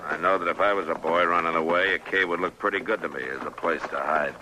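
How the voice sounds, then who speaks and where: An actor playing a stern, weary American marshal of the Old West, terse and commanding, in old-time radio western style. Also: male voice, low voice, fairly even intonation, fast, bright, forceful tone. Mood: firm, curt. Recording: noisy old radio drama recording.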